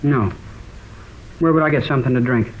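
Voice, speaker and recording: A man's voice: mid-range voice, a little fast, lightly acted. A man playing a defiant, evasive fifteen-year-old American schoolboy in a mid-century radio drama. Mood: sullen, evasive. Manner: evasive, sullen, colloquial. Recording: dull, lightly noisy old radio recording.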